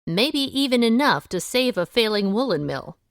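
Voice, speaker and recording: A woman's voice: a little high, sing-song, moderate pace, creaky, clear, resonant tone, no room sound, studio quality. An actress playing a shrewd, world-weary 1920s woman sleuth in an audio drama, knowing and matter-of-fact. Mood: shrewd, accusing. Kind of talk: audio drama dialogue and first-person narration.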